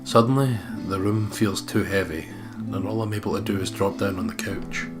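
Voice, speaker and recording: A man's voice: lowish voice, steady tone, moderate pace. A man hosting a let's play, reading a visual novel aloud and bantering. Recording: music playing behind. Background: guitar music from the game.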